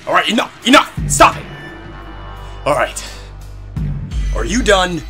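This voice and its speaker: Man acting an animated, exasperated young man. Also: sits high, unhurried, music in the background. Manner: urgent, exasperated. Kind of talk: dramatic audio drama acting.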